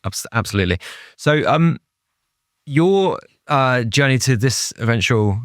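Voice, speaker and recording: A man hosting a podcast interview, asking questions in a casual, understated and somewhat halting way. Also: mid-range voice, lively intonation, no room sound, studio quality.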